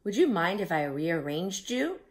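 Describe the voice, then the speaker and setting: A woman voicing a gentle, earnest, polite male hermit crab in a storybook. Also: lowish voice, very animated, measured pace. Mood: admiring, polite.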